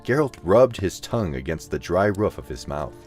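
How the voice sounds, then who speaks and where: Male narrator, deep and very varied in pitch, expressive scripted storytelling over background music. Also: moderate pace.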